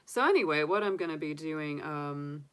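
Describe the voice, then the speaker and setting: Chatty woman, low voice. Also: animated, moderate pace, fairly bright tone, smooth. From United States. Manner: chatty, rambling, with many fillers and restarts. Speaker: tarot reader. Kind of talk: casual livestream chat. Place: home room with candles and sage.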